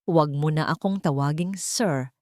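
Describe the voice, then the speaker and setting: A woman voicing a wealthy widowed man who speaks softly and sorrowfully in an audio drama. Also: low-pitched, moderate pace, no echo, studio quality, lightly acted. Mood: tender. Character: wealthy widowed man, hurt but gentle.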